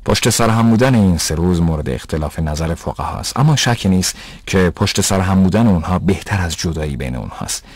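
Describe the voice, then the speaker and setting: A man playing a patient, pious father who explains rules in a measured, didactic way in an educational cartoon. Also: low voice, expressive, a little mellow, no room sound. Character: patient, pious father teaching his son religious rules. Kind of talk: dubbed educational cartoon dialogue.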